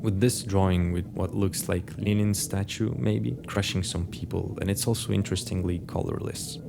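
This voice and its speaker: Guy narrating a video essay, really low and croaky voice, music playing under it. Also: fairly even intonation, moderate pace. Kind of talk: scripted commentary.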